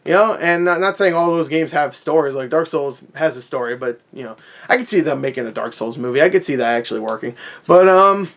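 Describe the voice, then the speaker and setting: Male vlogger, high-pitched voice, conversational and opinionated rant delivery, sarcastic with swearing. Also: a little fast, gravelly, fairly bright tone. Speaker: movie review vlogger.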